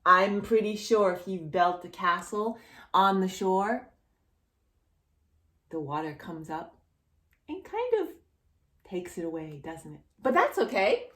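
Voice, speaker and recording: A woman storyteller reads to little kids at an unhurried pace, with a highly animated, swooping voice. She is playful and expressive, talking straight to the child as if waiting for answers. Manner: playful, expressive, exaggerated reactions, addresses the child directly. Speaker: children's storyteller and channel host. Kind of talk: read-aloud children's story with questions to young listeners.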